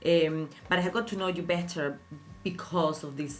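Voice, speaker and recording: A woman's voice: on the low side, steady tone, normal speed, faint music behind. A woman hosting a podcast interview, chatty and encouraging, with many fillers. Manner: chatty, warm-hearted encouragement, filler-heavy. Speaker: podcast host. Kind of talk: casual interview.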